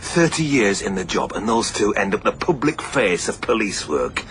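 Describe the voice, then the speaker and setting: Deep, expressive English man a bitter middle-aged veteran copper, sarcastic and blunt, radio play. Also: normal speed, slight background noise. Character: gruff, sardonic veteran police superintendent, bitter male. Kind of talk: radio drama dialogue.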